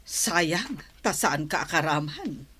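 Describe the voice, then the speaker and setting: Nagging mother, swooping pitch. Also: very animated, takes it slowly, pushed and bright, exaggerated voice. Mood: reproachful. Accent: Filipino. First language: Ilocano. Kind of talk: radio drama acting.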